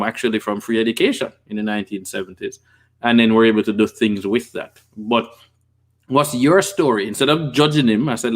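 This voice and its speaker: A Jamaican man in his forties hosts a casual livestream from his home office, speaking into a desk microphone with a pop filter. He tells stories with energy and gestures, and he reads out viewer comments and answers them. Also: medium pitch. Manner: animated storytelling with hand gestures. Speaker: livestream host.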